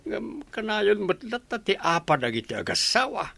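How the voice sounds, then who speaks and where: Man playing a gravelly, lively village gossip. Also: high voice, normal speed, heavily acted. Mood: inquisitive. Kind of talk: radio drama acting.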